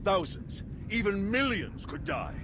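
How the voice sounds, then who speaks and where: A man's voice: sits high, big pitch swings, a little slow, over music. A man playing a resolute, concerned admiral in a sci-fi game, with terse commanding military delivery. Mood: urgent. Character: resolute male admiral, concerned, quick to act.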